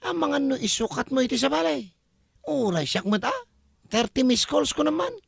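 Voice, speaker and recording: A man's voice: extremely high pitch, very animated, moderate pace, vocal fry, breathy edge, dry recording, studio quality, heavily acted. A man voicing a teasing, gossipy male buddy with jeering exclamations in an Ilocano comedy audio drama. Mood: amused teasing.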